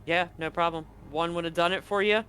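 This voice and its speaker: A man narrating as game master in a tabletop game, relaxed and full of fillers. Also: on the high side, a little fast, smooth.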